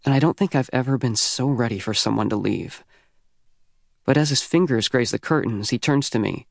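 An American man reads a first-person teen novel at a middle pitch. His delivery is youthful, wry and conversational, with a very dry, close studio sound. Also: normal speed, creaky, no echo. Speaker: audiobook narrator.

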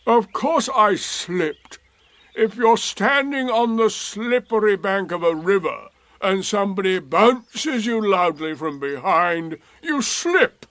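A man voicing a gloomy, sardonic old male donkey with a slow, drawn-out, mournful delivery in a storybook reading. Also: high voice, lively intonation, fairly bright tone, pitched above the performer's own voice, heavily acted.

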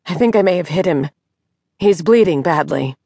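A woman's voice: fairly low pitch, animated, normal speed, vocal fry, mellow, no echo, clean studio sound. A woman voicing a flustered, anxious and indignant woman of sixty-two in an audiobook. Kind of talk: audiobook character dialogue.

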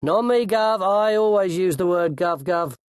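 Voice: medium pitch, a little slow, clean tone, dry recording, studio quality, pitched above the performer's own voice, close to an everyday voice. A narrator voicing a cheeky male thief in an audiobook, with slangy, deferential patter. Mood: cheeky pleading. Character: trapped thief, glib, cheeky, breathless cockney-slang chancer, man.

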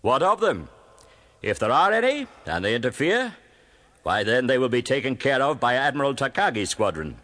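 An actor playing an arrogant, confident Japanese naval commander in an audio drama, declaiming pompously. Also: male voice, medium pitch, very animated, normal speed, bright, forceful tone. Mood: confident briefing.

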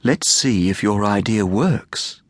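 A man voicing a calm, clever female camel who thinks her words slowly and steadily. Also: fairly low pitch, dry recording, clean studio sound. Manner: slow, measured, thoughtful.

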